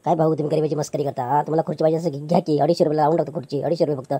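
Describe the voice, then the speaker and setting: A woman's voice: sits low, flat delivery, very fast, warm tone, husky, dry recording. A woman voicing a poor, meek male chair seller who pleads and placates with stammering restarts. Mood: placating.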